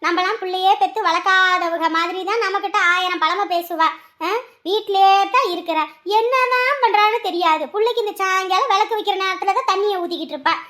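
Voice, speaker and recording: A high-pitched, easily scandalised mother-in-law played by a woman in a family drama. She gossips and scolds in rustic turns of phrase with a rural Tamil Nadu accent, piling up indignant questions. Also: fast talker, a bit bright. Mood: indignant complaining.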